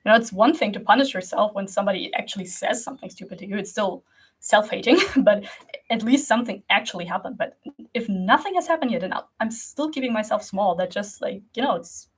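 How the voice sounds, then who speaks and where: A woman's voice: medium pitch, expressive, brisk, breathy edge, poor audio. A young woman from Germany whose first language is Russian, talking casually to camera as a creative coach, rambling with many fillers and restarts and laughing often.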